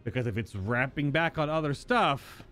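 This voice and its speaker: Casting: male game streamer, mid-pitched, chatty and speculative, casual live reactions with music underneath. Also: animated, normal speed, fairly bright tone. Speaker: streamer reacting to and reading a game's story quests aloud. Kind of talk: casual live-stream commentary mixed with reading dialogue aloud.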